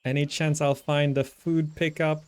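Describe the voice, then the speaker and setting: A man's voice: a little high, little pitch movement, unhurried, darkish tone, smooth. A man giving casual live commentary as he streams a strategy game, thinking aloud as he plays. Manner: thinking aloud while playing.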